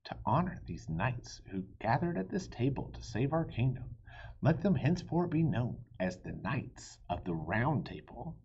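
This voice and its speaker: Man putting on a storybook king, gracious, commanding, loud and formal, rough husky voice, muffled lo-fi sound. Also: medium pitch, sing-song, unhurried, exaggerated voice. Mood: formal, grateful. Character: commanding, gracious male king addressing his knights.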